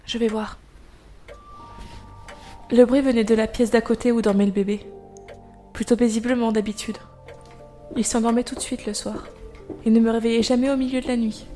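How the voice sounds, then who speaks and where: An actress as an anxious young mother recounts a haunting in an audio drama. Her voice is on the high side and her storytelling is measured, turning hushed and uneasy. Soft music plays behind her. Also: level tone, close to an everyday voice. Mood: uneasy, suspenseful. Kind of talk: first-person scripted narration in an audio drama.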